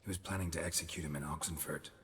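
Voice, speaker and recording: An actor playing a stoic, world-weary monster hunter in a video game, terse and deadpan. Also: male voice, rumbling low, rapid-fire, husky, exaggerated voice. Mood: terse, subdued.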